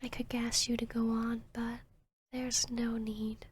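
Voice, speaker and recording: A woman voicing an arrogant, seductive vampiress hypnotist in a whispered ASMR roleplay, with a breathy, smug, teasing delivery. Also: on the high side, flat delivery. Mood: amused, condescending. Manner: slow, smug, teasing, deliberate.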